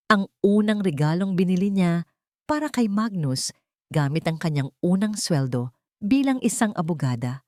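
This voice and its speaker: A woman with a slightly low voice reads a dramatic audio story. Her delivery is measured and solemn, and the recording is dry and close, with little room sound. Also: warm tone, no echo. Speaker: story narrator. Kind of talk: scripted dramatic audio story narration in Tagalog.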